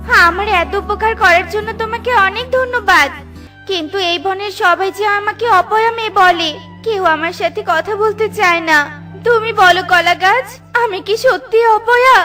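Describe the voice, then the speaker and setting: A woman's voice: extremely high pitch, normal speed, over music. A woman voicing an unhappy young girl bird in a children's cartoon, polite, hurt and pleading. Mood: earnest, plaintive. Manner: plaintive, pleading. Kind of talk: children's cartoon story acting.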